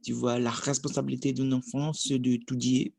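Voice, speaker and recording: A man's voice: mid-range voice, level tone, brisk, vocal fry, a little mellow, dry recording, clean studio sound. A man in his thirties from Brazil, speaking a learned language with a Brazilian Portuguese accent, hesitant and restarting often as he practices. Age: 30s. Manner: hesitant, searching for words, frequent restarts. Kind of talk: casual practice monologue.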